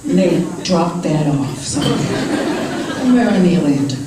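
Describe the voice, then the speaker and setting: An older woman from Boston with a Boston accent doing a stand-up comedy set, unhurried and deadpan, with many "uh" fillers. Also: deep voice. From Boston, USA. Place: large comedy club with live audience. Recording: echoey club recorded from far off. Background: crowd laughter and room noise.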